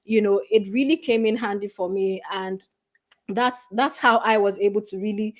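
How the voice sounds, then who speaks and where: A middle-aged woman from Nigeria speaking as a guest on a career panel, earnest and conversational, often filling pauses with "you know". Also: mid-range voice, steady tone.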